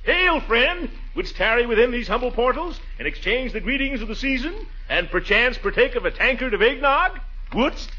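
Blustering, swooping jovial American bachelor. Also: male voice, very high-pitched, sing-song, moderate pace, bright, forceful tone, full character voice. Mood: mock-grand. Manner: pompous, hearty, mock-grand declaiming and chuckling. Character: jovial, blustering small-town bachelor merrymaker, grown man. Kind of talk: 1940s radio comedy acting. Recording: muffled, noisy 1940s radio recording.

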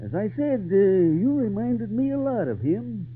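Avuncular circus owner, folksy, very varied pitch, radio storytelling, tinny recording with faint hiss. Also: male voice, sing-song, unhurried. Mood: genial. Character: genial, folksy circus owner telling a boy stories. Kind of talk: old-time radio drama storytelling.

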